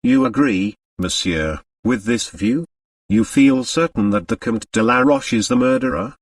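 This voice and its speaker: A man voicing a shrewd little foreign detective in an audiobook, mock modest and precise, murmuring softly. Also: medium pitch, unhurried, no room sound, recorded from a distance, lightly acted. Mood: softly probing. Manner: soft murmuring, precise, complacent.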